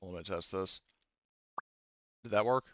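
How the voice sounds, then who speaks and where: A man's voice: sits low, a little slow, fairly bright tone, dry recording. A man running a tabletop game as game master, narrating informally and hesitantly on a slightly dull home recording.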